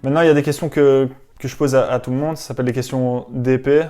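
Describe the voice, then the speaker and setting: A man's voice: medium pitch, fairly even intonation, fast talker, mellow. A man hosting a casual interview, putting his questions informally and a little hesitantly. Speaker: interviewer, host.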